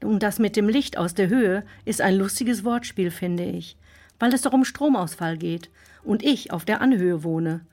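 A proud woman with a curt, formal way of speaking and a medium-pitched voice, played by an actress for a children's radio play. She sounds like someone who guards her dignity. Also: normal speed, a little mellow, natural voice. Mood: humbled, sincere. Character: Gabi, proud bitter woman who softens.

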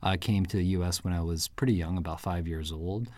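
A man's voice: low voice, steady tone, brisk, darkish tone, dry recording. A man born in Japan hosting a podcast, reading a scripted introduction and then asking questions with a few hesitations. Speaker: podcast host. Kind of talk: podcast interview.

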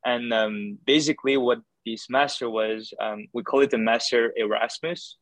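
A man's voice: mid-range voice, steady tone, moderate pace, no room sound, rough recording. A man, a doctoral student answering interview questions in a hesitant way, full of fillers and restarts. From France. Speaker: podcast guest, doctoral student.

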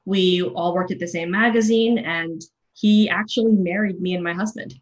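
A woman's voice: on the low side, fairly even intonation, normal speed, highs cut off. A woman in her thirties, a journalist guest on a podcast, speaking in a direct, matter-of-fact way. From Philippines, raised in New Zealand. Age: 30s. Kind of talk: casual interview. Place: remote call.

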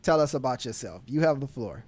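A man's voice: mid-range voice, lively intonation, brisk, a little mellow. A man hosting a podcast, chatting casually and animatedly with slang and frequent laughs. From Houston area resident, now Miami. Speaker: podcast host. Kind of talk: casual chat.

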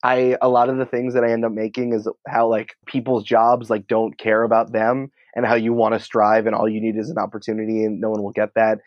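A man talking casually as an interview guest, in an excitable, rambling, informal way peppered with filler words. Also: fairly low pitch, brisk, hardly any pauses, no room sound, highs cut off. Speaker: podcast writer and producer, interview guest.

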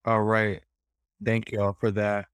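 A man co-hosting a casual podcast, sounding nasal from a summer cold, relaxed and friendly. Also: on the low side, slow pace, clear, resonant tone, no echo, clean studio sound. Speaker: podcast co-host.

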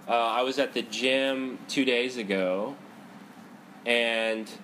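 A man of ordinary pitch, talking loudly through a head cold. He teaches a seated group about meditation in a relaxed, conversational way. He keeps checking in with "right?" and "you know.". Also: takes it slowly, slight background noise, low-quality recording. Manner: loud talker. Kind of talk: Buddhist psychology talk to a group. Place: indoor room with a group, seated cross-legged.

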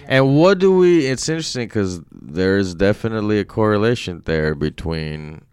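A man co-hosting a podcast, chatting casually and haltingly as he gropes for words. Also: on the low side, lively intonation, darkish tone, clean tone, dry recording, studio quality. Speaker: podcast co-host. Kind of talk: casual chat.

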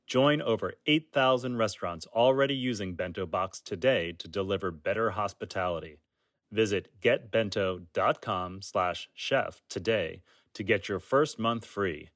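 A man reads a scripted sponsor message in a clear, measured way. His voice is fairly low, and the sound is dry and close. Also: fairly bright tone, no echo. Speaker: ad announcer.

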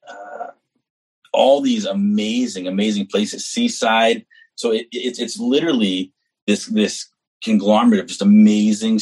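A deep, husky male voice from New Hampshire, talking with earnest enthusiasm as an interview guest. He runs on in long, eager stretches of casual conversation. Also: rough recording. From New Hampshire, US East Coast. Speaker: executive chef, guest.

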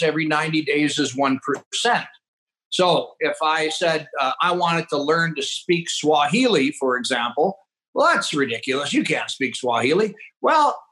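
Higher-pitched Canadian man, conversational guest. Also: fairly bright tone, poor audio. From northeastern Alberta, Canada. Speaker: podcast guest, agriculture entrepreneur. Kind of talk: conversational explaining.

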